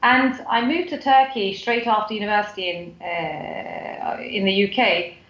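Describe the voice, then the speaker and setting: A woman's voice: mid-range voice, measured pace, a bit bright. British woman on a roomy, dull line. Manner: chatty, with frequent fillers and restarts. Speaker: guest, foundation CEO. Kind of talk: podcast interview.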